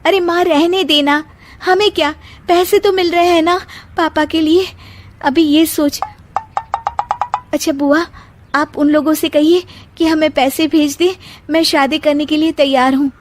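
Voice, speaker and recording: A woman's voice: high-pitched, fairly even intonation, a little slow, a little hiss or hum, pitched above the performer's own voice. A woman voicing a dutiful, sensible young daughter with an earnest, comforting manner in a moral story. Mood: earnest, determined. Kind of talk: Hindi moral story dramatization.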